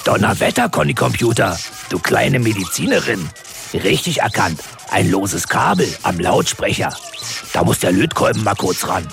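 Gravelly, hearty kindergarten boss. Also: male voice, fairly low pitch, sing-song, moderate pace, fairly bright tone, soft background music, heavily acted. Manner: hearty, exclaims "Donnerwetter". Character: jolly male kindergarten head, middle-aged. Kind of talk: children's radio comedy.

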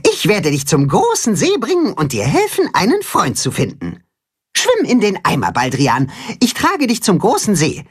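Eager young chicken hero, high and lively, caring tone, storybook, man voicing. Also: moderate pace, slightly rough, exaggerated voice. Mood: encouraging. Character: brave kind young male chicken hero.

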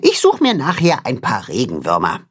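A man voicing a small male mole with a tender, very high voice in a children's audiobook. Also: wildly swooping pitch, moderate pace, slightly rough. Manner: zarte Stimme. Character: kleiner männlicher Maulwurf, zart und bestimmt.

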